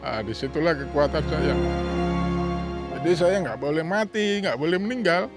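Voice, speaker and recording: A man's voice: on the high side, animated, moderate pace. Earnest man in his fifties, faint music. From Sumatra, Indonesia. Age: 50s. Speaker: testimonial speaker. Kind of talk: personal testimony interview.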